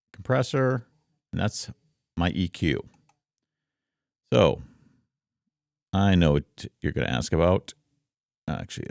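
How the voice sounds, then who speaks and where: Male streamer, rumbling bass with gravelly creak, unhurried casual explaining, very dry close-mic sound. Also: a little mellow, no room sound. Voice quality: very deep, rumbling. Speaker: content creator, streamer. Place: home office. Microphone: close studio microphone.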